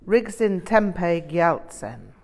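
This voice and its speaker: Slow, deliberate old Tibetan master voiced by a woman. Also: on the low side, sing-song, darkish tone, heavily acted. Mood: didactic, blunt. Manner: deliberate, emphatic, storytelling. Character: older male Tibetan Buddhist master, teasing, blunt storyteller. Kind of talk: audiobook character reading.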